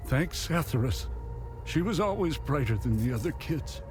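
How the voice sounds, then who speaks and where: A solemn, gentle male preacher, acted by a man in a game drama, weary and protective of family. His fairly high voice moves widely in pitch, over prominent music. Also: lively intonation, normal speed, natural voice. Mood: solemn, tender.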